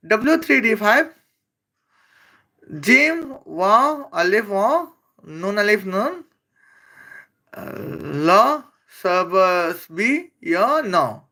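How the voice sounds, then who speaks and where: A speaker from Bangladesh who speaks English as an after Bengali, giving a rambling, halting comedic roast full of hesitations. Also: mid-range voice, bright, forceful tone. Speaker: video creator.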